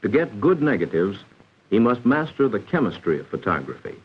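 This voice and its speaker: Dull vintage film soundtrack, American man, husky voice, formal 1940s educational narration, instructive, carefully enunciated. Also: on the low side, very animated, fairly quick. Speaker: narrator of a vocational training film.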